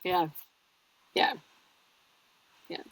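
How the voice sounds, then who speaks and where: A woman's voice: drawn-out words, a bit bright, no echo, far from the mic, poor audio. A woman audiobook narrator, talking casually and explaining her work in an interview, laughing easily. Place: home studio.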